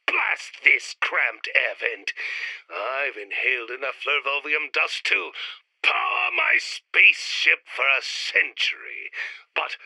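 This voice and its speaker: An actor playing a vain, exasperated mad-scientist villain in a retro sci-fi radio serial, gravelly, pompous and sardonic. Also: male voice, mid-range voice, sharp tone, no room sound, heavily acted, low-quality recording. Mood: irritated scheming.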